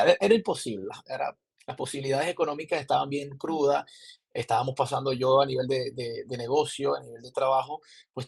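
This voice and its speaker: A man's voice: mid-range voice, vocal fry, slightly rough. A man in his thirties with a Maracaibo Venezuelan accent, a film director telling his story as a podcast guest, rambling with many restarts and fillers. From Zulia, Venezuela. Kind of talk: casual interview storytelling. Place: remote call from abroad.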